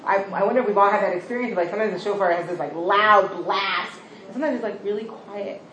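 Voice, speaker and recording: A woman leading a study class, talking quickly and encouragingly, often asking "right?" and praising answers. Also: medium pitch, a bit bright. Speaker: teacher leading a study session. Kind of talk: interactive class discussion. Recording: echoey room with background noise.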